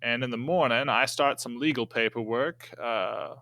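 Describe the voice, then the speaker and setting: A man speaking in character in a tabletop game, deliberate and hesitant, with folksy old-time phrasing. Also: medium pitch, sharp tone.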